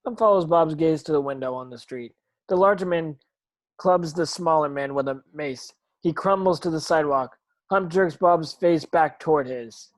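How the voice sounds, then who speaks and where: A man's voice: on the high side, normal speed. A man reading stage directions as narrator in an amateur table read, occasionally tripping over words and rereading them.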